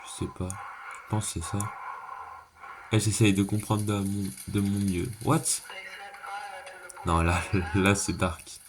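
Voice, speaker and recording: A man's voice: on the low side, flat delivery, slow, pauses often, a crowd in the background. A man giving a casual, slangy reaction commentary, thinking aloud and repeating himself. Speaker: reaction video creator. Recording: clearly noisy background.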